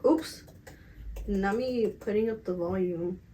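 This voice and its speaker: A woman in her twenties chatting casually and candidly to camera as a vlogger, emphatic and slangy, with a slightly raspy voice. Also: mid-range voice, takes it slowly, mellow, poor audio. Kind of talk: casual confessional chat to camera.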